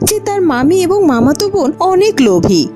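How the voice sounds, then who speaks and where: Female fairy-tale narrator for children: high-pitched, highly animated, scripted read. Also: brisk. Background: background music.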